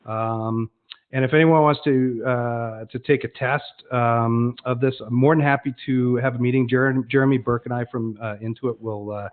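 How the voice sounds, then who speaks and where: A man's voice: mid-range voice, steady tone, moderate pace. Dull-sounding webinar recording, conversational man. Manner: conversational, explanatory, frequently self-correcting. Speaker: presenter of an online product demonstration. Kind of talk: informal webinar presentation with question and answer. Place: online web conference. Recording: dull, lo-fi online webinar audio.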